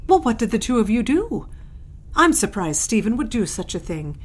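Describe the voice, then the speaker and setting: A woman's voice: on the high side, big pitch swings, moderate pace. An actress playing a proud, critical middle-aged mother in a period audio drama, scolding and prying with indignation. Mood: suspicious, indignant.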